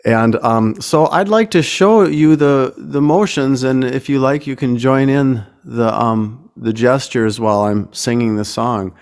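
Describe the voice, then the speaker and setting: A man speaking as a guest songwriter, casually and reflectively introducing a song in a gentle, self-effacing, unhurried way. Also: medium pitch, clean tone. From United States.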